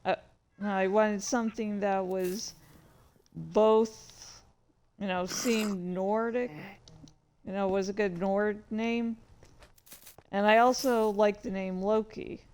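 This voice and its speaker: A woman giving casual, rambling commentary as a writer, halting and self-deprecating, with some swearing. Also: medium pitch, steady tone, a little mellow, clean tone. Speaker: writer reading and commenting on own story.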